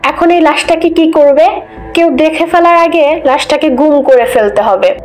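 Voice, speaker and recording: Very high-pitched scheming mother-in-law acted by a woman. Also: animated, normal speed, a little mellow. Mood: angry, plotting. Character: scheming cruel older mother-in-law, scolding and two-faced. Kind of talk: Bengali moral-story cartoon voice acting. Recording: music bed under the voice.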